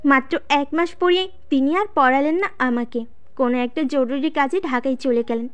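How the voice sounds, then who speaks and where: A woman's voice: high-pitched, moderate pace, lightly acted. A woman playing a shy, easily piqued student who narrates her own story in a scripted audio drama. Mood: earnest, wistful. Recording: music behind the voice.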